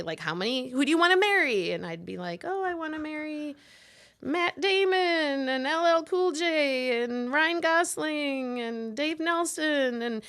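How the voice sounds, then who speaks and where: A woman in her forties from Wisconsin, telling stories as an interview guest in a goofy, lively way with frequent laughter. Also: a little high. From Milwaukee, Wisconsin. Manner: goofy, lively storyteller who laughs often. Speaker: podcast guest, improv performer. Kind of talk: casual interview chat.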